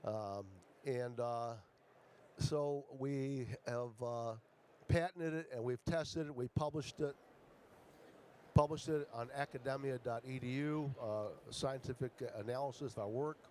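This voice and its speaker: Man, from ecuador, conference pitch, halting, mid-pitched, faint background noise. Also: steady tone, a little mellow. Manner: halting, many fillers and restarts. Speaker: startup founder pitching.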